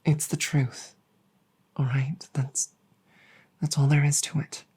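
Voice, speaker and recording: A woman performs a female dragon in an intimate audio drama, proud yet embarrassed and tender with a human mate. The voice is low, dark and husky with breath. The words come slowly and formally, with flustered repeats and drops toward a whisper. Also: flat delivery, heavily acted. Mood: apologetic, dejected.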